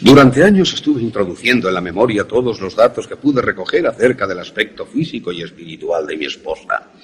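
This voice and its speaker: A man playing an obsessed, grieving widowed professor in a suspense radio drama, with a husky voice and formal, measured phrasing. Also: on the low side, lively intonation, soft background music, natural voice. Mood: solemn confession.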